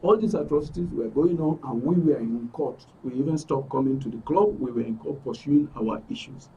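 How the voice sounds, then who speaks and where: A man's voice: mid-range voice, fairly quick, mellow, distant mic, lo-fi sound, slight background noise. A middle-aged Nigerian man giving an earnest, emphatic account as a guest in a broadcast interview, repeating phrases for stress. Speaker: studio guest, aggrieved club member. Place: radio and TV studio.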